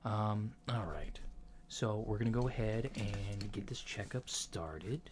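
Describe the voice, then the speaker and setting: A man playing a polite alien doctor in a soft-spoken ASMR roleplay, asking checkup questions in a hesitant, methodical, reassuring way, on a lo-fi recording. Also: lowish voice, lively intonation, takes it slowly, over music. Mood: calm, reassuring. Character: polite, unhurried human-looking alien doctor giving a checkup. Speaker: ASMR performer. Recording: lo-fi with clear background noise.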